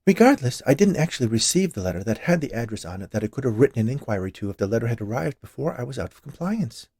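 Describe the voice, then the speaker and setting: A man's voice: medium pitch, very animated, quick pace, a little mellow, husky, dry recording, clean studio sound. A man reading a story, voicing a cheerful, chatty woman who keeps a confectionery shop and naively argues. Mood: puzzled, insistent. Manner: annoyingly cheerful, rattling off lists.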